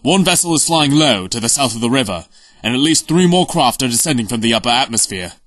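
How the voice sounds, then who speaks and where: A man's voice: medium pitch, expressive, quick pace, a bit bright, no echo, close to an everyday voice. A man playing a formal military aide in an audio drama, reporting in a clipped, dutiful way. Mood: urgent. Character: crisp male military aide reporting to the prime minister.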